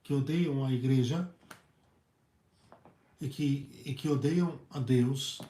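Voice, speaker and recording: A hoarse male priest with a Brazilian Portuguese accent, preaching earnestly in halting phrases and often repeating his words. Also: medium pitch, warm tone. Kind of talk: preached talk on a video channel. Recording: echoey room.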